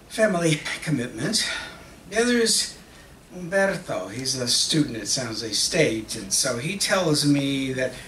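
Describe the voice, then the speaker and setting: A man's voice: mid-range voice, fairly bright tone. Distant, echoey man acting as an earnest magazine publisher. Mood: resigned. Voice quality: bilingual, slipping between English and Spanish. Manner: deliberate, halting delivery in short phrases with pauses. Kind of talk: dramatic reading of a monologue on stage. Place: stage of an auditorium. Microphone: stand mic at lectern, recorded by distant camera. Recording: distant, echoey auditorium with background noise.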